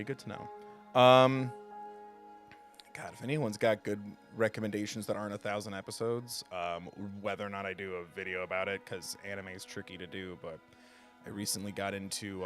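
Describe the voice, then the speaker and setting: A man hosting a video game livestream, chatting casually and haltingly with viewers in a self-deprecating, joking way. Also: lowish voice. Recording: music behind the voice. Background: music.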